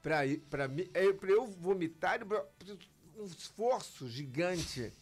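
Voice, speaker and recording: A man's voice: high voice, a little slow, slightly rough, soft background music. A man, a physician guest explaining medical matters in an interview, emphatic and acting out patients' words. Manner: lively, emphatic, acts out patients' lines.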